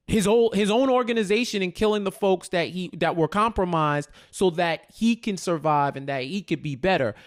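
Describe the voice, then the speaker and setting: Higher-pitched man in casual recap chat. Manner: slangy, repeats phrases as thoughts form, checks in with "right?". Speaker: podcast co-host.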